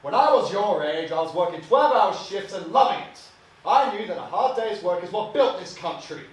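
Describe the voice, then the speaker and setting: A man's voice: mid-range voice, a little slow, close to an everyday voice. Male actor as older hard-drinking father: overbearing, contemptuous, stage monologue, recorded far from the mic in an echoey room. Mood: angry contempt. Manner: scornful, forceful, haranguing. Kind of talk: theatrical monologue acting. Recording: distant mic, echoey room, faint hiss.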